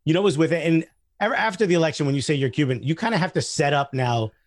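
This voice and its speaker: A man chatting casually as a comedian guest, telling anecdotes in an animated way and acting out the dialogue. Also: a little high, fast talker, no echo, clean studio sound. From Newark, New Jersey, USA.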